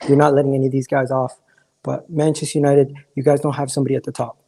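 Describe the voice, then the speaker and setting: A hoarse man calling in to a fan livestream, talking candidly and rambling, with many restarts and a throat that keeps giving out. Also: medium pitch, flat delivery, fast, mellow. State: hoarse, coughing. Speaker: caller. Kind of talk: casual chat on a fan livestream.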